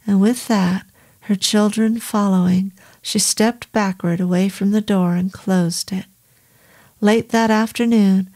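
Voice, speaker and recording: A woman reads a horror novel aloud at a slow, deliberate pace. Her voice sits at an ordinary middle pitch, and she keeps the telling steady and even. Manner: slow, measured, even reading. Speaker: audiobook narrator. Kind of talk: scripted horror novel narration.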